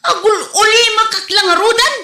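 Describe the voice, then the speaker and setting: Very high and piercing, a woman puts on a brazen, excitable Ilocano village rival for a comedy drama. She is theatrical and exclamatory, heard in an echoey room. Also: normal speed. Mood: frantic, threatening. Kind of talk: comedy audio drama dialogue. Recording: roomy echo.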